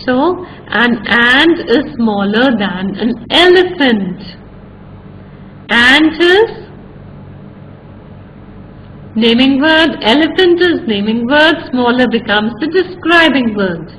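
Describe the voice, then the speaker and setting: Slow, high-voiced woman teaching children. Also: expressive, a bit bright, a little hiss or hum, low-quality recording. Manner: slow, deliberate, spells words out and repeats examples. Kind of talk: children's grammar lesson.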